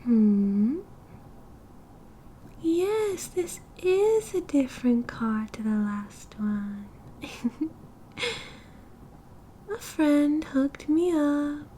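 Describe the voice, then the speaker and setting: A woman's voice: high-pitched, lively intonation, extremely slow, long pauses, dark tone, slight background noise, exaggerated voice. A British woman voicing a cheerful, possessive young yandere kidnapper in an ASMR roleplay, with a cutesy, doting manner. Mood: breezy, doting. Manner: giggly, cutesy, doting, breezy. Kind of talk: ASMR roleplay, intimate one-sided conversation. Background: car driving sounds.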